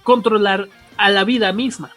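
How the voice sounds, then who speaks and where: A man's voice: high voice, fairly even intonation, pushed and bright, soft background music. A man with a Mexican accent explaining comics lore in a casual, slangy podcast chat, often restarting and correcting himself. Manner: informal, slangy, halting with self-corrections. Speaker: podcast co-host.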